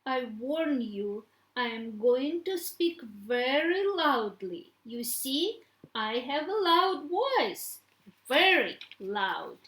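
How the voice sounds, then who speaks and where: A woman voicing a scornful, menacing wife in a story, halting and deliberate. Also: sits high, very animated, a bit bright, heavily acted. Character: scornful, menacing wronged wife confronting her rival. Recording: echoey room.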